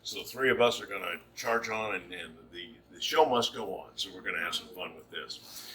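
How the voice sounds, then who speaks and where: A man's voice: sits low, a little fast, pushed and bright. A man from Virginia in costume gives a chatty lecture to an audience, speaking without a microphone in his own big voice. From Virginia, USA. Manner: chatty and explanatory, with frequent restarts. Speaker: costumed shanty performer and lecturer. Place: indoor event hall. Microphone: no microphone, picked up from across the room. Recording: distant room recording.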